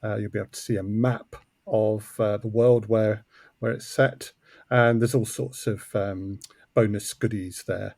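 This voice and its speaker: A man's voice: lowish voice, fairly even intonation, unhurried, gravelly, a little mellow, dry recording. A man speaking casually as an interview guest on a podcast. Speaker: guest, audio drama co-creator.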